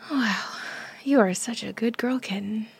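A woman whispering an intimate audio roleplay, breathy, teasing and commanding. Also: a little high.

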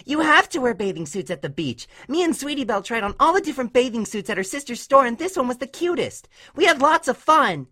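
An earnest little cartoon filly voiced by a woman, high-pitched and very animated. The delivery is childlike and plaintive, vulnerable, as in an acted story reading. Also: quick pace, few pauses, heavily acted. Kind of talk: dramatic fan-fiction character acting.

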